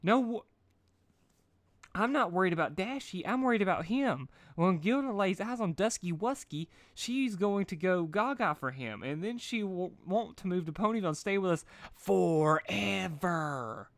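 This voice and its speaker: A man's voice: high voice, lively intonation, normal speed, dry recording, voice pushed up. A man voicing a hyperactive, fretful female cartoon party pony. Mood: panicked.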